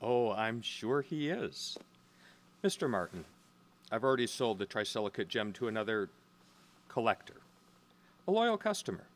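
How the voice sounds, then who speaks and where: An actor playing a courteous, condescending gemstone dealer in an audio drama, speaking formally. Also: male voice, on the low side, very animated, moderate pace, pauses often. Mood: smooth, dismissive. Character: polite, shrewd male gemstone auction dealer.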